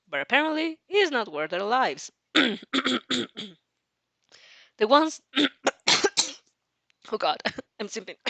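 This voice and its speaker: A woman reading fan fiction aloud in an expressive way, breaking off for casual reactions and restarts. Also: medium pitch, no echo.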